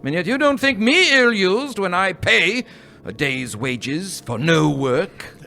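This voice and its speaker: A man playing a grumbling, miserly old moneylender in a live radio play, gruff and scoffing. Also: high-pitched, animated, takes it slowly, sharp tone, faint music behind, heavily acted. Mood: grudging. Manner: gruff, scoffing, snapping out bits.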